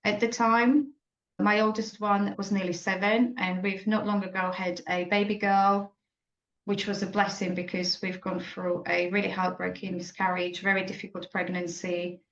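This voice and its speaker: A woman's voice: mid-range voice, flat delivery. Reflective woman from Slovakia, echoey. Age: 40s. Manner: reflective, halting, frequent self-corrections. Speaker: guest. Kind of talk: personal story in an interview. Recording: echoey muffled room.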